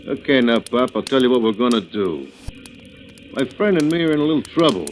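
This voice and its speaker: An actor with an American accent playing a cocky, mocking gangster in a 1940s radio drama, bossy and slangy. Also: male voice, medium pitch, animated, brisk, faint music behind, close to an everyday voice. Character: cocky, mocking male hoodlum gang leader. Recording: noisy old radio recording.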